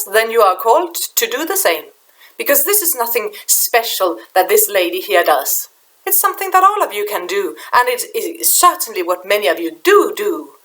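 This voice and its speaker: A middle-aged woman from Denmark with a Danish accent, speaking English softly at her webcam, halting and repeating words as she gropes for them. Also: on the high side, low-quality recording. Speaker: spiritual channeler. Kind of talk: casual spiritual vlog talk. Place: small room indoors. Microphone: webcam in front of her.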